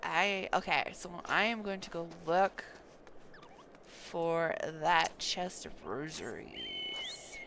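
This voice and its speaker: A woman's voice: on the low side, wildly swooping pitch, slow, a bit bright, a little hiss or hum. A woman live streaming a game, chatting casually and murmuring to herself as she plays. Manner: chatty, murmuring to self while playing.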